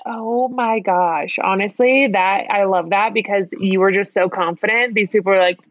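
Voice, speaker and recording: A woman's voice: medium pitch, fairly even intonation, moderate pace, no room sound, lo-fi sound. A woman co-hosting a podcast in casual conversation, reacting in a gushing, informal way. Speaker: podcast co-host. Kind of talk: casual chat.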